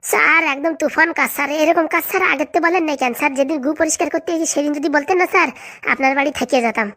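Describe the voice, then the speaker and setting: A woman's voice: high voice, very fast, fairly bright tone. A woman voicing an eager, fawning young male henchman in a comedy cartoon, chattering boastfully with a rural Bengali accent.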